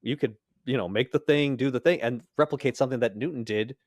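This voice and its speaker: A man's voice: mid-range voice, fast, dry recording. A man co-hosting a podcast in casual conversation, explaining things informally. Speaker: podcast co-host. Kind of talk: casual chat.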